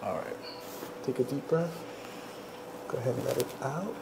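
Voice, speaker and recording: Man, chiropractor, creaky voice, brief reassuring instructions, repeated cues, small treatment room, noticeable background noise. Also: medium pitch, normal speed. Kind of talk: hands-on instruction to a client, ASMR bodywork session.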